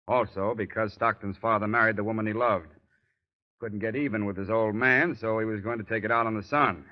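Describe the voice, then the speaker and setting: An actor playing a gruff, easily flustered middle-aged police commissioner in 1930s radio style. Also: male voice, on the low side, fairly even intonation, fairly quick, natural voice. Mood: explaining. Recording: dull 1930s radio recording.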